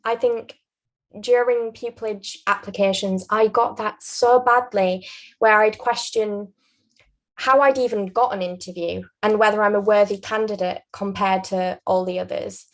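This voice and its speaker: A woman's voice: medium pitch, steady tone. Yorkshire woman in her twenties giving careful earnest tips in an interview. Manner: earnest, measured advice-giving. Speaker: guest, aspiring barrister. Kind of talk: interview advice.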